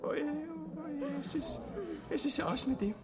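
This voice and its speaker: An actress playing an excitable, cheeky young prince, a boy given to theatrical exclamations, in a grotesque comedy. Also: sits high, unhurried, music in the background. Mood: alarmed.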